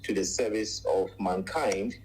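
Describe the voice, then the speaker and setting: A man in his forties from Ghana, a radio guest telling his life story in a measured way, with many pauses and long lists. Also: low-pitched, a little mellow, slightly rough, low-quality recording. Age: 40s. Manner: measured, frequent "uh" pauses, lists things at length.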